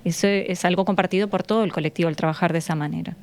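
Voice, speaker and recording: A woman with a Uruguayan accent speaks as a teacher being interviewed in a studio. Her voice is a little low, and she explains in a conversational, halting way, searching for words. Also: steady tone. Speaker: schoolteacher, interview guest. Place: radio studio. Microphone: studio microphone.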